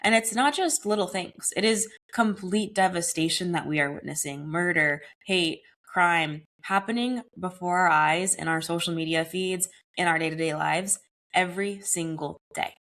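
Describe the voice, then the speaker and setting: Woman, young adult, podcast host, slightly hoarse, raspy from a cold, somewhat low pitch, earnest conversational monologue. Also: normal speed, a bit bright. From United States. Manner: earnest, conversational, uses casual "y'all" and "like". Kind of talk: solo wellness podcast monologue.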